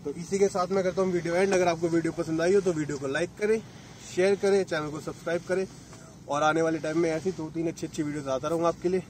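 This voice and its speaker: A man's voice: on the high side, fairly even intonation, quick pace, a little mellow, slight background noise. A man in his thirties, a motorcycle vlogger, chatting casually as he explains gear step by step at an open lakeshore. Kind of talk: casual product demo.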